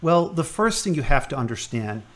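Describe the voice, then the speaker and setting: A man playing a gentle hospital chaplain. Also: fairly high pitch, very animated. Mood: measured. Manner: gentle, measured preaching tone. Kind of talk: amateur radio drama acting.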